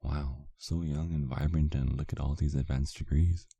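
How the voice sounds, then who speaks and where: A man's voice: a bass voice, steady tone, moderate pace, mellow, breathy edge, dry recording, exaggerated voice. A man playing a put-upon vampire husband in a comedic audio roleplay, complaining in a sardonic, hesitant way. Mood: coaxing.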